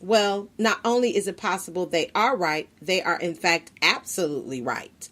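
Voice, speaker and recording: A woman podcast host reading an article aloud in a steady, plain way, spelling out web addresses. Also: lowish voice, normal speed.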